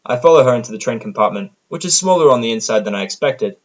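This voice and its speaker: A man reads scripted first-person narration for an audio drama. His voice is average in pitch. The delivery is sardonic throughout. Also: expressive, fast, muffled recording.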